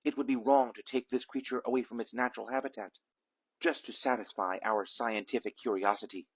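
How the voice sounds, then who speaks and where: A man's voice: mid-range voice, steady tone, a little fast, husky, close to an everyday voice. A man voicing an earnest, principled female mouse assistant in a storybook, objecting on moral grounds. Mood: earnest, disapproving.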